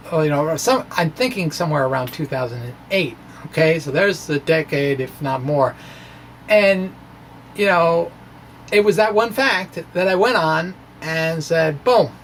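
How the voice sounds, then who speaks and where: A middle-aged American man giving an informal, halting explanation to camera, with animated, self-deprecating asides. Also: fairly high pitch, slight background noise. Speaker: physics video blogger. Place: small indoor room with bookshelves. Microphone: microphone on stand in front of chest.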